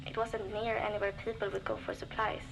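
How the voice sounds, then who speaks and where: A woman's voice: medium pitch, little pitch movement, fairly quick, creaky, close to an everyday voice. An actress playing a young, lonely, worn-down woman surviving alone in a frozen wasteland, speaking wearily and bluntly in a game's audio diary, with dry humour and frequent swearing. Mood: weary. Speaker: game character recording audio diaries. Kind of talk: scripted voice acting as a diary log. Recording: noisy cassette tape recording.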